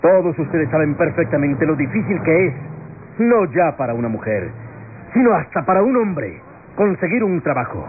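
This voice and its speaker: An actor playing an earnest defense lawyer pleading to a jury in impassioned, rhetorical radio drama style. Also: male voice, fairly high pitch, big pitch swings, normal speed. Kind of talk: classic radio drama courtroom oratory. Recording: tinny, noisy old radio recording.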